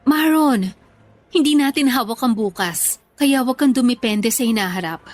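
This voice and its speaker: A woman's voice: on the high side, lively intonation, moderate pace. A woman playing a weary, blunt ex-girlfriend in a radio drama, firm and exasperated. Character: weary, blunt ex-girlfriend firmly ending a long relationship. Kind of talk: emotional radio drama dialogue.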